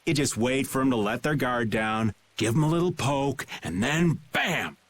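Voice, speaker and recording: A man's voice: mid-range voice, animated, normal speed, a little hiss or hum, close to an everyday voice. A man playing a teasing, easygoing middle-aged adventurer in a video game, with a folksy, playful drawl. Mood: sly, playful. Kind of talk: video game dub acting.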